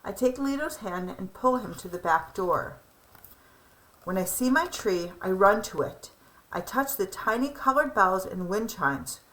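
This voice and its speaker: A young woman reading a children's picture book aloud in an expressive storybook style. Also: mid-range voice, unhurried, poor audio.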